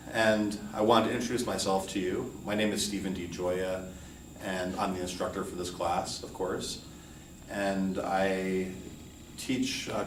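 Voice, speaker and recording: A middle-aged man with a low voice gives an informal class introduction in a measured, earnest way. He sounds some distance from the microphone in a roomy space with audible echo. He speaks as a college instructor addressing students. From United States, New York City and Hawaii. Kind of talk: informal course welcome lecture. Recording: roomy echo, distant mic, faint noise.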